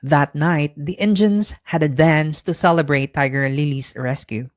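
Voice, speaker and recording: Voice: medium pitch, measured pace, no echo, studio quality. A storyteller narrating a scripted bedtime story for children.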